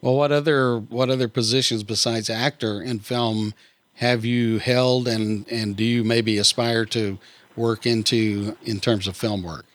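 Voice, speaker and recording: Middle-aged guy hosting a podcast, chatty interview style, kinda rambles and restarts, mid pitch. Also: fairly even intonation, measured pace, no echo. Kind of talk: conversational interview.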